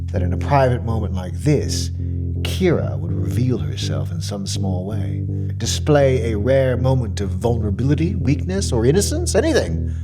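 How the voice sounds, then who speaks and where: An actor playing an obsessive, self-righteous male mystery shopper, pompous and formal, delivering a dramatic monologue as a customer report. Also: lowish voice. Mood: brooding, fixated. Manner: pompous, formal, officious, precise wording.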